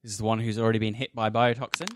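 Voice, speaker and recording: A man's voice: on the low side, flat delivery, racing, noisy background. A man acting as game master, mixing scene narration and rules calls with casual table talk. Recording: music playing behind. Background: background music.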